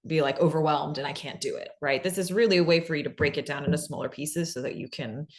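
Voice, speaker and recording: A woman's voice: sits low, fast talker. A woman co-presenting a webinar in an informal instructional way, conversational, often checking in with "right?" and dropping in "like". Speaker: co-presenter of a fiction podcast pitching webinar.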